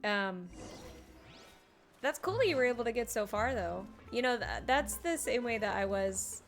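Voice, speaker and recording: A woman's voice: fairly high pitch, lively intonation, normal speed. A woman livestreaming a video game, chatting casually to viewers with playful asides. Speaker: game streamer. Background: video game music.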